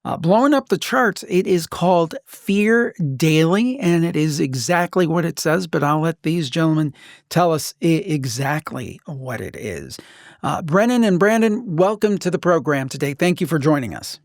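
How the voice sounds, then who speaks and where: A man's voice: on the high side, expressive, moderate pace, no room sound, clean studio sound. A man hosting a podcast, speaking conversationally as an interviewer who shares asides of his own. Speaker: podcast host.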